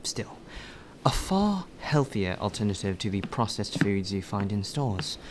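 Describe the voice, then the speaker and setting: A man acting as a polite, deferential male butler in a soft, formal ASMR audio roleplay. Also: fairly low pitch, sing-song, background noise. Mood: gently chiding, refined. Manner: formal, deferential, measured, sighing.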